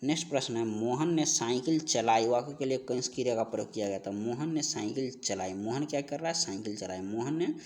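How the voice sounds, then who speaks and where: A man's voice: medium pitch, brisk, hardly any pauses, darkish tone. A man giving an instructional exam-prep lesson, reading out questions and repeating the answers for emphasis in a didactic way.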